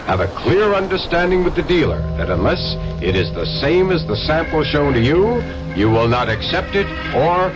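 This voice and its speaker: A man's voice: a little high, big pitch swings, normal speed, lo-fi sound, music in the background. An actor playing a polite, instructive male adviser in an old radio ad. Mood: helpful. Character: helpful male consumer adviser in an ad.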